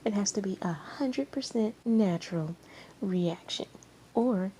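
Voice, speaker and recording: Woman acting out a smug, scheming woman, pitched up. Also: unhurried, warm tone, slight background noise, close to an everyday voice. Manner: taunting, smirking delivery.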